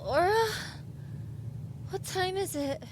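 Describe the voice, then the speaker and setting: Woman acting an anxious teenage girl, high-voiced. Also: slow, very noisy. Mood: shocked, frightened. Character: anxious, dreamy seventeen-year-old girl artist. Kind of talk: audio drama acting.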